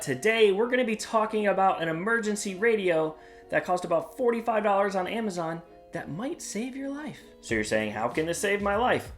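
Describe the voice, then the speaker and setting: Upbeat man hosting a product review. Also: high voice. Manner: upbeat, plain-spoken, with some "uh" fillers. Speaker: product reviewer and channel host. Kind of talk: casual product review vlog.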